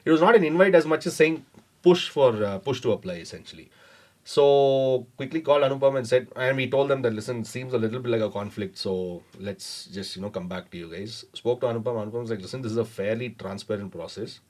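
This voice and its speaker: A man's voice: medium pitch, a little mellow. Man telling a story, full of fillers. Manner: measured, many fillers and hedges. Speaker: startup founder, podcast guest. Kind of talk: casual interview storytelling.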